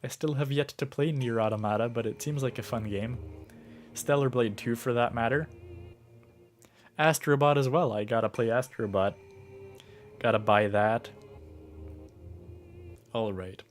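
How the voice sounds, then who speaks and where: A man's voice: mid-range voice, steady tone, unhurried, long pauses, faint music behind. A man streaming a video game, musing aloud with lots of fillers while sick and losing his voice. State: sick, starting to lose his voice. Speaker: gaming livestreamer. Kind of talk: casual gameplay commentary.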